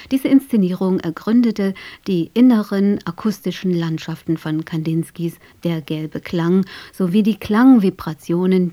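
A woman reading a scripted introduction for a radio arts broadcast in a measured, factual way. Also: fairly low pitch, mellow. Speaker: radio presenter introducing an audio drama.

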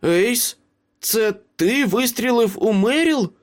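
A man's voice: very high-pitched, expressive, slow pace, voice pushed up, exaggerated voice. A man voicing an earnest, reproachful young male friend in a storybook, indignant and pleading. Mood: shocked.

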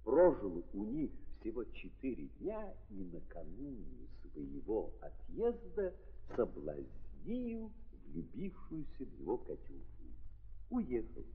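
A man narrating as a grave, ironic storyteller in a theatrical 1930s stage style, with emphatic dramatic pauses. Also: medium pitch, wildly swooping pitch, gravelly, full character voice. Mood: grave, accusing. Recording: muffled 1930s recording, long reverb.

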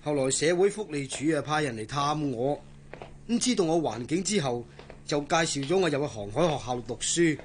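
Actor as earnest young Hong Kong sailor, higher-pitched. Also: male voice, expressive, brisk, a little hiss or hum, close to an everyday voice. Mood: candid, reflective. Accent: Hong Kong Cantonese. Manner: earnest, colloquial, solicitous. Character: earnest, devoted young sailor, kindly and plain-spoken. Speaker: actor in radio drama. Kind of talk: Cantonese radio drama dialogue.

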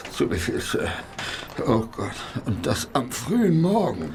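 Queasy, swooping detective's assistant. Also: male voice, mid-range voice, huge pitch swings, unhurried, darkish tone, a little hiss or hum. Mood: nauseated. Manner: faltering, easily rattled. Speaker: actor in an audio drama. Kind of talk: German radio play acting.

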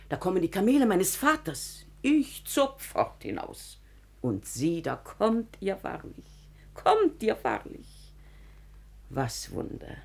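Devoted excitable lady's companion in an old classic radio play, dark creaky voice, very declamatory. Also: low voice, full character voice. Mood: earnest, excited. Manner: declamatory, emphatic storytelling. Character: devoted, excitable female household companion, troubled by conscience. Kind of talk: classical German radio drama acting.

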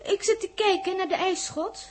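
A nervy young girl in an old sci-fi radio play, really high voice, plaintive and hesitant. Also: moderate pace. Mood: fretful, curious. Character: anxious young girl on a spaceship crew. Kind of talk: 1960s Dutch radio drama acting.

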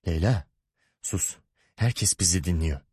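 A man's voice: sits low, takes it slowly, a little mellow, slightly rough, dry recording, studio quality, full character voice. A man voicing a proud, hot-tempered husband and father, stern and exasperated, with indignant warnings.

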